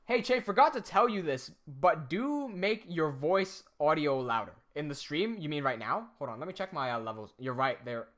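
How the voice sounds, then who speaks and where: Man, American accent, streamer, casual chat, bright tone, lively pitch. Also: moderate pace, hardly any pauses. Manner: chatty, reads out viewer questions while fiddling with software.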